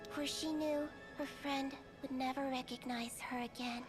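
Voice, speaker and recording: A woman voicing a small, kindly doctor in an anime-style game, with a gentle storybook manner. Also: high voice, steady tone, normal speed, close to an everyday voice. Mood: wistful. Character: small, kindly female doctor, a creature living in human form. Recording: loud music behind the voice.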